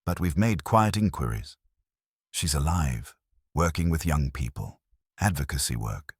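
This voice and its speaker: Man reading as terse, very deep-voiced police inspector. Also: expressive, a little slow, breathy edge, no echo, studio quality, exaggerated voice. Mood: reserved, probing. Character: reserved, terse, considerate male police inspector. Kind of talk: audio drama narration dialogue.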